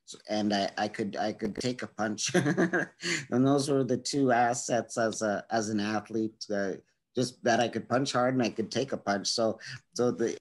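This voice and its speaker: A man talking casually over a video call, in an ordinary middle-pitched voice. He stops and restarts often and slips small chuckles between his points, sounding relaxed and unscripted. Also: a little slow. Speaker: guest speaking to students. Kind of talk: casual conversational storytelling.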